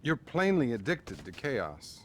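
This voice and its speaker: An actor playing a patronizing male therapist, measured and placating, in a naturalistic video game style. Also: medium pitch, wildly swooping pitch, a little hiss or hum. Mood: condescending.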